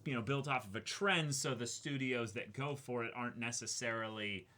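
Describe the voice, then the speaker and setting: A young man co-hosting a show, chatting casually and reading aloud with halting, thoughtful phrasing. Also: medium pitch, sharp tone. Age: young adult. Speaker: co-host of an unboxing show. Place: small indoor room.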